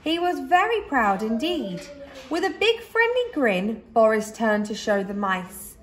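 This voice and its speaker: A woman reading a children's story aloud expressively, like a teacher, with dramatic pauses and emphasis. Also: fairly high pitch, very animated.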